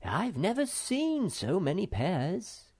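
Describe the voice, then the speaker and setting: A man putting on a kind mother troll, voice raised and softened into a motherly lilt. She speaks gently and unhurriedly, a little grain in the tone, from a storybook read aloud. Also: mid-range voice, huge pitch swings, takes it slowly, breathy edge, voice pushed up, exaggerated voice. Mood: kindly.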